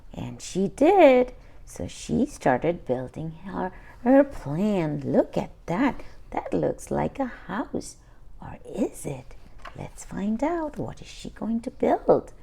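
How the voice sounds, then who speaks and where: A woman's voice: lowish voice, vocal fry. A woman reading a children's picture book aloud, adding her own little asides to the listener. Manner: slow and lively, pausing to add asides.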